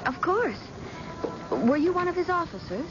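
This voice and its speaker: A woman's voice: very high voice, very animated, moderate pace, over music. An actress playing a poised, coolly playful young woman, somebody's grown daughter, in a vintage American radio drama. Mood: polite, curious. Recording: dull, noisy old radio broadcast.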